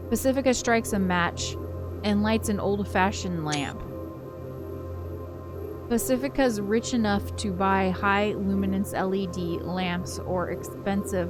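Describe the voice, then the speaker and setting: A woman reading a visual novel aloud as a let's play narrator, in an expressive first-person storytelling manner. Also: medium pitch. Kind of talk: live read-aloud of a story game, with casual asides. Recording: music behind the voice. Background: game soundtrack music.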